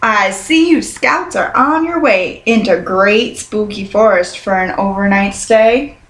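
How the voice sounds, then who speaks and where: A woman voicing a sly father bear in a children's storybook, with drawn-out, dramatic warnings. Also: medium pitch, a bit bright. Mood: mock-spooky warning. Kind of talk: children's storybook read-aloud. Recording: roomy, echoing sound.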